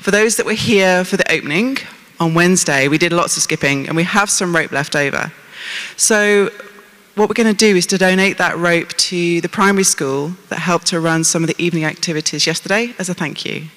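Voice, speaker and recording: A woman's voice: on the low side, fairly quick, fairly bright tone. A middle-aged woman hosting from a large auditorium stage, introducing things to an audience on a handheld microphone. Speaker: congress host. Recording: echoey hall PA sound.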